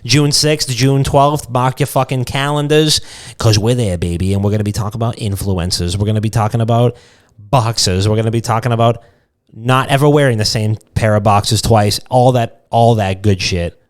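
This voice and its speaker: A man's voice: fairly low pitch, steady tone, normal speed. A man co-hosting a podcast, riffing in loose, profane, irreverent comedic banter. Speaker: podcast co-host.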